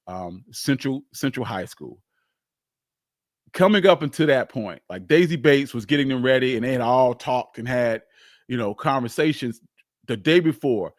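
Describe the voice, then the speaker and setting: A man hosting a casual, unscripted live history stream, speaking candidly in a halting, rambling way. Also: medium pitch, no echo, studio quality. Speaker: livestream host, history storyteller.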